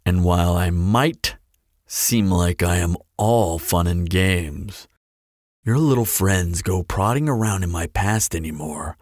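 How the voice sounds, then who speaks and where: A man's voice: low-pitched, slow, no room sound, studio quality. A man playing a teasing, flirtatious immortal vampire in an audio roleplay, with a playful, sardonic, theatrical drawl. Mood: quiet menace. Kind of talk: scripted audio roleplay acting.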